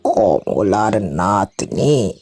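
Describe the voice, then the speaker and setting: Village elder near ninety, extremely slow halting delivery, lowish voice, wistful audio-drama storytelling. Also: male voice, big pitch swings, darkish tone, soft background music, heavily acted. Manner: halting, repeats phrases.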